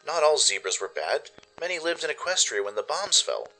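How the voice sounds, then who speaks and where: A man's voice: on the high side, very animated, fairly quick, bright, forceful tone, soft background music, pitched above the performer's own voice, heavily acted, low-quality recording. Man voicing an anxious, earnest young pony filly. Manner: nervous, self-reassuring inner monologue. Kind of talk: first-person audio drama narration.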